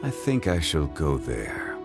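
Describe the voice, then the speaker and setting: A man voicing an ancient, wise, scholarly gentleman in a video game cutscene, speaking in a formal, measured and reflective way. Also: rumbling low, huge pitch swings, creaky, music in the background, full character voice. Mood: nostalgic, serene. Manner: formal, measured, eloquent, contemplative.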